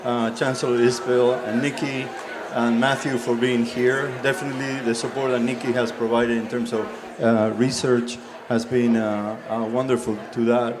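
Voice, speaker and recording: A man with a deep Southern accent, a college dean speaking on a panel, hesitant with many fillers. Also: little pitch movement, normal speed, a crowd in the background. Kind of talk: panel discussion remarks.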